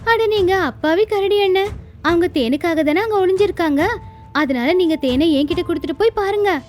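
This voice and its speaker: A sly, teasing cartoon nanny goat, voiced by a woman. The voice is very high and full of cheek and cunning, with music playing behind it. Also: normal speed. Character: mischievous, cunning, taunting female goat. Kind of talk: animated animal fable dialogue.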